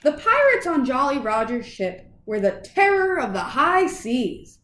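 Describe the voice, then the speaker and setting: A woman reading a children's picture book aloud in an expressive, dramatic way for young listeners. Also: high voice, measured pace, roomy sound. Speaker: children's storybook reader.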